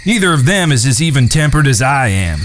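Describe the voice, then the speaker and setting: An actor playing a courteous, even-tempered outlaw brother in a Western audio drama. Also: male voice, medium pitch, brisk, a little hiss or hum. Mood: dry, firm.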